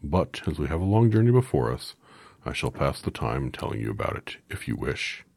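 A grave, solemn king of winged monkeys from a storybook, as a man puts him on. He speaks slowly in a very deep, gravelly voice. The tone is husky and dry. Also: very animated, darkish tone, no echo, heavily acted.

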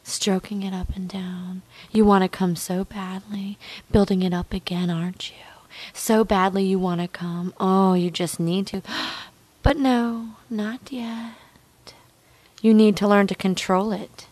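A woman with a medium-pitched, breathy voice that often slips into a whisper. She speaks very slowly, drawing out her words. Her delivery is intimate and dominant, coaxing in repeated commands in the manner of adult hypnosis audio. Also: flat delivery, darkish tone. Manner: slow, drawn-out, dominant and coaxing. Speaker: erotic hypnosis performer.

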